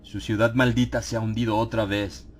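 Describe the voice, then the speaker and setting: A man narrating a dramatized horror audiobook in a grave, theatrical manner with dramatic pauses. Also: fairly low pitch, flat delivery. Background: background music.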